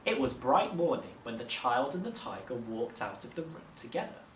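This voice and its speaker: A man narrating a children's story expressively, like a teacher reading aloud, with measured dramatic phrasing. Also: mid-range voice, expressive. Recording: roomy, distant mic, faint noise.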